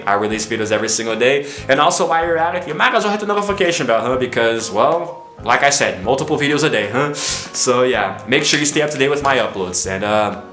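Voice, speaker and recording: A man's voice: medium pitch, fairly bright tone, echoey room. A man vlogging to camera about his views, chatty and tired, often saying "you know", from Brazil. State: tired and low on energy. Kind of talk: casual opinion vlog to camera. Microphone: camera mic, filming self.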